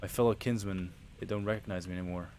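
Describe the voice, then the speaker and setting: Low-voiced Dutch man streaming casually. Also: quick pace, slight background noise. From Netherlands. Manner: relaxed, rambling, chatting to viewers while playing. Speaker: game streamer. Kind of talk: casual livestream commentary.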